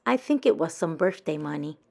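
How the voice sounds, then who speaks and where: A woman's voice: low-pitched, expressive, a little fast, vocal fry, warm tone, heavily acted. A woman voicing a sly, pushy young girl badger from a children's storybook, coaxing and wheedling.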